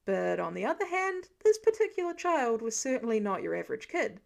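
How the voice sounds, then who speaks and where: High-voiced woman narrating fiction. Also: big pitch swings, brisk, creaky. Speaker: amateur audiobook narrator. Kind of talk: expressive scripted fiction narration.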